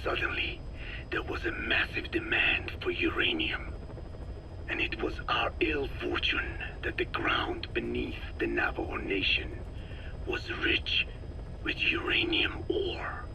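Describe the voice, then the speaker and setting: Solemn old Navajo scientist for a game: low, whispered rasp, halting and sorrowful. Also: male voice, huge pitch swings, heavily acted, low-quality recording. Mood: sorrowful, proud. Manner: slow, measured, halting delivery in short phrases. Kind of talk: dramatic video game dialogue.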